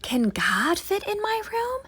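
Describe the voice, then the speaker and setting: A woman voicing a timid little boy who is afraid of the dark, in a small, breathy, hesitant voice for a storybook. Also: very high voice, wildly swooping pitch, slow pace, voice pushed up, heavily acted. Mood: wondering.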